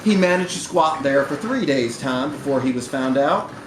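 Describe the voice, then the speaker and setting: A man's voice: mid-range voice, animated, normal speed. A man reading a short story aloud expressively at a live event, indoors in a bar. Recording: roomy, noisy live bar recording.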